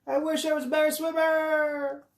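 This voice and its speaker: A man's voice: very high-pitched, level tone, normal speed, reverberant, distant mic, a little hiss or hum, pitched above the performer's own voice. A shy, hopeful young narwhal from a picture book, put on by a man reading aloud. The voice sits well above the reader's own, light and childlike, with a slightly altered tone. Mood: wistful.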